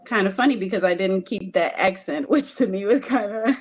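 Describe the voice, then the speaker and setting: A woman from Puerto Rico telling her life story in a conversation, earnest and hesitant, with frequent pauses. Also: medium pitch. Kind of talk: personal testimony in conversation.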